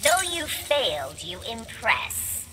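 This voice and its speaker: A menacing female insect creature, speaks formally and very deliberately. Her mid-range voice swoops over slow phrases. Loud game noise runs behind it. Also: fairly high pitch, sing-song. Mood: cold menace. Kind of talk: fighting game character dialogue.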